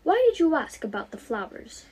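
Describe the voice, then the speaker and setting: A woman's voice: on the high side, sing-song, fairly quick, darkish tone, breathy edge. A teenage young woman voicing a kindly, patient aunt who teaches, in a storybook reading. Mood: explaining. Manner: patient, explanatory, fond.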